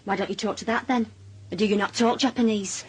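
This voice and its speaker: Middle-aged northern english mum, worried but dry and sardonic, bit husky, radio play. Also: female voice, medium pitch, steady tone, brisk. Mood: curt, guarded. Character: worried, wry Northern mother of a suspected lad. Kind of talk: naturalistic radio drama acting.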